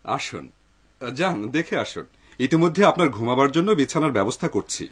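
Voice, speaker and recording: Husky, animated Ali Baba, kindly householder. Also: male voice, mid-range voice, moderate pace. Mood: courteous. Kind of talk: dubbed cartoon acting.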